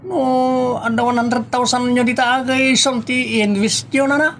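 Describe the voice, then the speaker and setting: A woman with an Ilocano accent playing a pushy, wheedling investment peddler in a comedy audio drama, coaxing and animated. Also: on the high side, measured pace.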